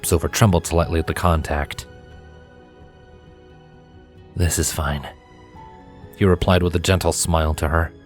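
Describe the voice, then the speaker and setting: A man with a low voice narrates a piece of fiction. He reads from a script as a storyteller, with music playing behind his voice. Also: little pitch movement, fast. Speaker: narrator, fan fiction reader.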